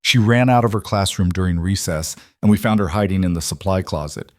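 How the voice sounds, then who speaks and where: A man's voice: sits low, brisk, dry recording, clean studio sound, close to an everyday voice. A man narrating an audiobook voices a female school principal, formal, professional and concerned.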